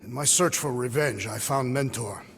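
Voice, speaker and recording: An actor playing a solemn, proud alien warrior in a video game cutscene, speaking formally and gravely. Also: male voice, medium pitch, normal speed, big-room sound, slight background noise, lightly acted. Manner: formal, grave, literal-minded diction.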